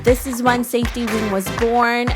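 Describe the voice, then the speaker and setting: A woman's voice: fairly high pitch, little pitch movement, normal speed, over loud music. A woman hosting a podcast interview, upbeat and effusive as she introduces and questions her guest. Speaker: podcast host.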